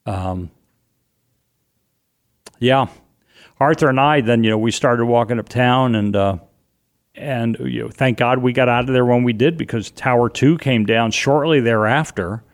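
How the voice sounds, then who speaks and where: Middle-aged man, loud voice, animated storytelling, interview guest. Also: mid-range voice, a little slow, long pauses. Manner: vivid, animated retelling with acted-out quotes.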